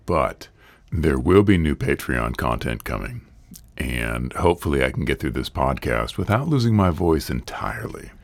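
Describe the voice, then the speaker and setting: Male podcast host, hoarse, very deep and gravelly, earnest chatty delivery, dry close recording. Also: no room sound. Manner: earnest, conversational, rambling. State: hoarse, recovering from illness. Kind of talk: solo podcast monologue.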